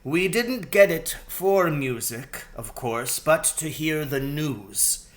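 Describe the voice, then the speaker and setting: A man voicing a wise, courteous rat leader in a storybook, explaining things in an unhurried, deliberate way. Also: on the high side, animated. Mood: calm, explanatory. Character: wise, courteous male rat leader telling his history.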